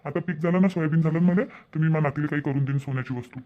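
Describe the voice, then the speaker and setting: A man voicing a humble, gentle village grandfather in a cartoon family drama, with a Varhadi Marathi accent. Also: fairly high pitch, very fast, phone-quality sound, close to an everyday voice. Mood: regretful. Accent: Varhadi (Vidarbha) Marathi.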